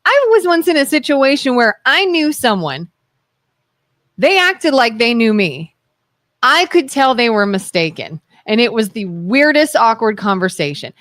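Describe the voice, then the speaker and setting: A woman's voice: a little high, moderate pace, dry recording, clean studio sound. A young woman from Ohio with an Ohio accent, co-hosting a casual podcast and telling stories with acted-out voices. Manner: animated storyteller, acts out quoted voices. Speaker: podcast co-host. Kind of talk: casual chat.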